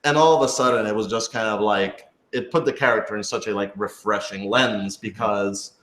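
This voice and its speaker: A man's voice: on the low side, fairly even intonation, moderate pace, fairly bright tone, slight background noise. A man chatting casually on a question-and-answer panel as an audio drama's writer, rambling as he thinks aloud. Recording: roomy, echoey room sound.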